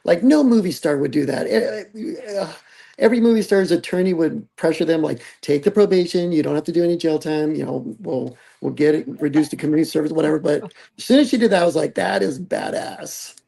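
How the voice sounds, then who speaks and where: Brief: woman podcast co-host, low gravelly husky voice, candid and emphatic. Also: mellow. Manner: candid and emphatic, halting restarts. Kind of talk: casual chat.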